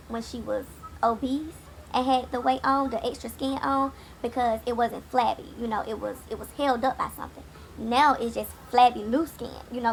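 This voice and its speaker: A woman with a raspy voice from a cold, giving a sassy, blunt, swear-heavy casual recap of a TV episode late at night. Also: on the high side, a little hiss or hum. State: hoarse, getting over a cold. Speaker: TV recap host.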